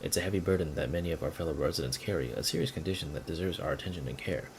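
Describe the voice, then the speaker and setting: A man's voice: extremely low pitch, racing, slight background noise. A man voicing a wry, grumbling sanatorium patient's letter in a video game, conversational and emphatic.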